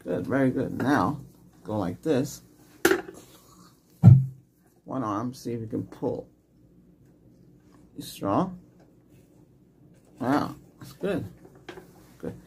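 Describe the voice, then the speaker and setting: Middle-aged male, ASMR doctor roleplay, mid pitch, brief reassuring prompts, noisy recording with clicks. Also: expressive, extremely slow, pauses often. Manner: short soft questions and instructions, reassuring. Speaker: ASMR creator playing an ER doctor. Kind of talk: ASMR medical exam roleplay. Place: small indoor room set up as a clinic.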